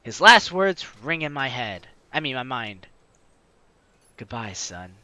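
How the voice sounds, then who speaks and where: A man's voice: medium pitch, big pitch swings, slow pace, sharp tone, dry recording. A man reading a story game aloud as a let's player, with dramatic narration and casual asides. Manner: dramatic reading, slipping into offhand comments.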